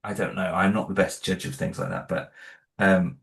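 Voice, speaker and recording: Hesitant low-voiced man, echoey. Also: little pitch movement, normal speed, gravelly, a bit bright. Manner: hesitant, many restarts and fillers. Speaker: guest, horror audio drama creator. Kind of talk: casual interview answers.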